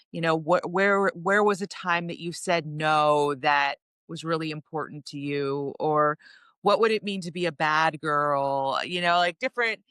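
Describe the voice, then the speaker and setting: A woman's voice: low-pitched, fairly bright tone, clean tone, dry recording. A woman talking as a podcast guest in a chatty way, full of "um" and "like". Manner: chatty, lots of "um" and "like". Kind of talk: casual podcast conversation.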